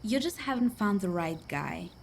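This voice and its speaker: A woman's voice: mid-range voice, big pitch swings, normal speed, creaky, darkish tone, slight background noise. A woman acting a worldly, chatty, poor young woman in a radio play, confiding and folksy. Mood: breezy, coaxing.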